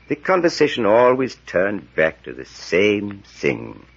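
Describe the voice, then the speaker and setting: An actor playing a grave, scholarly European professor in a 1940s radio serial, deliberate and building to theatrical intensity. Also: male voice, low-pitched, husky, exaggerated voice. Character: grave elderly male European professor, steeped in dark lore. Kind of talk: 1940s radio acting, dramatic storytelling. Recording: muffled vintage 1940s radio recording.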